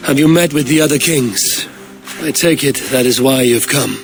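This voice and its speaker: An actor playing a steadfast, frank Viking warrior in a video game, grave and direct. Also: male voice, medium pitch, moderate pace, natural voice. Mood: frank, calm. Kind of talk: cinematic video game acting. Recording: prominent game music behind the voice.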